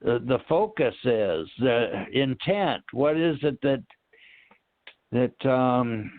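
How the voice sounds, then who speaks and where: A man speaking in a halting, reflective way, searching for words and trailing off as he discusses spiritual ideas, over a narrow phone-like connection. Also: mid-range voice, animated, no echo. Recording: narrow, tinny phone-line sound.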